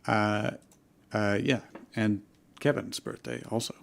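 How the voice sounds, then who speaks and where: A man's voice: fairly low pitch, fairly even intonation, slow pace, creaky. A man co-hosting a show, chatting casually and introducing pieces to the group. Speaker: co-host of a fanfiction reading show.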